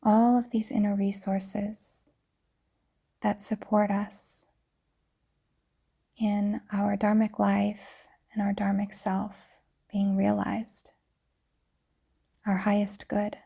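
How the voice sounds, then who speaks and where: A woman yoga teacher narrating a guided meditation in a soft, breathy, soothing way, deliberately, with pauses. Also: medium pitch, flat delivery. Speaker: yoga nidra teacher guiding a practice.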